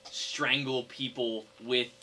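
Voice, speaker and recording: A young man chatting casually about games as a podcast co-host, explaining things in a halting, hesitant way. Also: medium pitch, level tone, sharp tone. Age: young adult. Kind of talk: casual gaming chat among friends. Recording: noisy hobby podcast recording.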